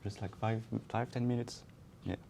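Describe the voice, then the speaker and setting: A man with a low voice who speaks in a calm, soothing, measured way, the kind of voice that guides a meditation. He co-hosts a podcast and slips easily into relaxed chat. A faint background hum sits under his voice. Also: gravelly. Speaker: podcast co-host. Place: Barcelona.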